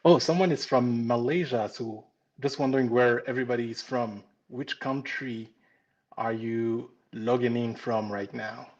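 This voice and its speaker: A man's voice: mid-range voice, a little slow, a little mellow, dull audio. A man with a French accent, a pastry instructor, cheerfully greeting viewers one by one as a live lesson begins. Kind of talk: live-stream greeting chat. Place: home kitchen.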